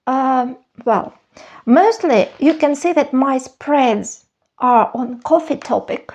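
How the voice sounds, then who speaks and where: A woman speaks in a high voice with a gravelly edge. She talks unhurriedly in a casual vlog, choosing each word with care. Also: a little mellow, muffled recording. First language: Russian. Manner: slow, careful, searching for words. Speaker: vlogger, former university teacher. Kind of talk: casual show-and-tell vlog.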